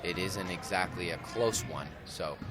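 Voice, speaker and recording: A man's voice: sits low, unhurried, music in the background. A man giving conversational live analysis as the color commentator at a basketball game. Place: indoor school gymnasium during a game. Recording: noisy gym ambience, bouncing basketballs. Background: game noise, basketball bounces.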